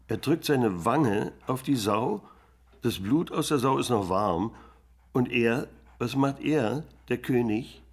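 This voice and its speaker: Gravelly, slow village hunter. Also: male voice, mid-range voice, full character voice. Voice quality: gravelly, husky. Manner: halting, laconic, clipped phrases. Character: village hunting man telling of a hunt. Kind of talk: radio drama storytelling.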